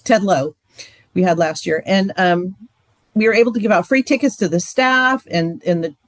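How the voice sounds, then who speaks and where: A woman's voice: fairly low pitch, normal speed, no echo. Chatty woman, dry close sound. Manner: chatty, loose, frequent fillers. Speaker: ministry trainer. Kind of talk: casual training talk.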